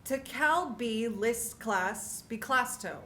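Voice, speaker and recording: A woman with a somewhat high voice performs experimental sound poetry. She chants fragmented words and syllables, drawing them out extremely slowly. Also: lively intonation, a bit bright. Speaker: choir performer.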